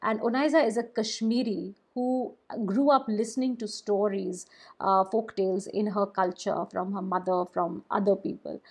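A woman's voice: medium pitch, fairly even intonation, normal speed, slightly rough. A woman whose first language is Bengali, a storyteller and podcaster talking thoughtfully about books, with small restarts. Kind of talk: casual podcast discussion.

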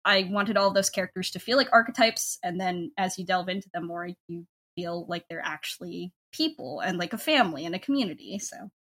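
A woman's voice: on the low side, brisk, few pauses, a bit bright. A woman interview guest talking casually about creative work, often dropping "like" into sentences. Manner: informal, frequent "like" as a filler.

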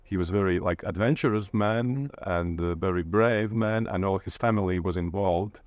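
A Latvian man with a low voice answers in an interview. He speaks conversationally in accented English, hesitating and restarting as he looks for words. The sound is dry, with little room in it. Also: moderate pace, darkish tone, dry recording. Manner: hesitant, searching for words with repeated starts. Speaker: interviewee, literature lecturer.

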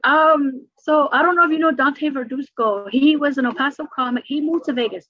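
A middle-aged woman with a New York accent, a stand-up comedian chatting in an interview and telling stories quickly and animatedly. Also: high-pitched, lo-fi sound. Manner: fast, animated storytelling with laughs. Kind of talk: casual interview chat.

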